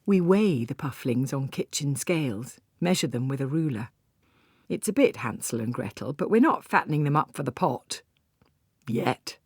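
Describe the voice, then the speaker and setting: A woman's voice: very deep voice, expressive, moderate pace, a little mellow, breathy edge, no echo, clean studio sound. A woman narrating a literary first-person story as a scripted audiobook reading.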